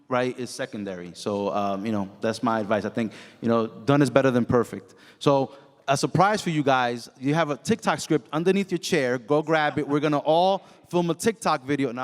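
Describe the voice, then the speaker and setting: Animated man on a conference panel. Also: medium pitch. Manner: animated, peppers talk with "right?". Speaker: branch manager, panelist. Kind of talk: casual panel talk. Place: conference hall. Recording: echoey hall.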